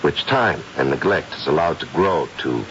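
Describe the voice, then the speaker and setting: Deep-voiced, theatrical psychic detective. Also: male voice, lively intonation, fairly bright tone. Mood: probing, brisk. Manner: theatrical, deliberate, with hesitant probing pauses. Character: methodical psychic detective, confident and probing. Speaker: narrator and lead character. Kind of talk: 1970s radio drama acting. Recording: muffled vintage radio broadcast, faint noise.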